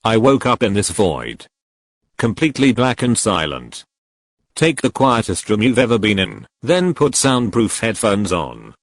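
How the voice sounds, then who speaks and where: Male narrator, low voice, animated pitch, reading forum stories straight through, dry close mic. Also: no room sound. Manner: reads every post straight through in one steady narrating voice. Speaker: narrator reading online posts.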